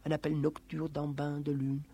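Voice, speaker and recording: Higher-voiced man reciting literature theatrically. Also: completely flat delivery, moderate pace, breathy edge. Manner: theatrical, swinging from hushed to emphatic. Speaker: reader of literary text.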